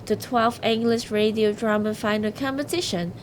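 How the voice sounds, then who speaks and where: A woman's voice: on the high side, steady tone, moderate pace, a little hiss or hum. A woman hosting an awards ceremony, reading scripted announcements.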